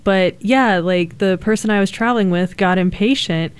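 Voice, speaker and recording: A woman's voice: medium pitch, normal speed, clean tone. A young adult woman in a casual group discussion, who talks loosely and fills her sentences with "like" and "um". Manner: casual, filler-heavy with frequent 'like'.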